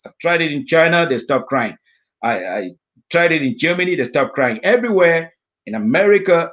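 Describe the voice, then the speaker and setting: Man from africa, middle-aged, storytelling, lively asides, slightly high voice. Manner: animated storyteller, voices his inner thoughts in asides. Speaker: guest speaker sharing a personal story. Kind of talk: storytelling talk.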